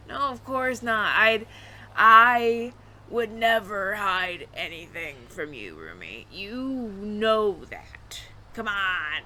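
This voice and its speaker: A woman's voice: a little high, sharp tone, slight background noise, full character voice. A woman playing a nervous, evasive alien roommate in an audio roleplay, stammering and over-explaining to the listener. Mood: nervous, defensive.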